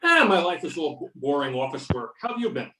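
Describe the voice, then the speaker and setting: Roomy, distant video-call sound carries a man reading a wry federal agent. His voice is a little high for a man. He acts in an easygoing amateur theater style. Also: sing-song, fast, pushed and bright, slight background noise. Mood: friendly, probing. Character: wry male FBI agent back in his hometown. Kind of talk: amateur readers theater acting. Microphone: videoconference or conference call.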